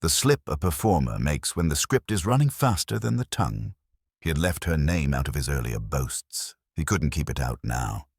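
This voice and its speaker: A man's voice: very deep voice, sing-song, moderate pace, vocal fry, husky, no echo, clean studio sound. A man reading scripted first-person noir mystery narration in a wry manner.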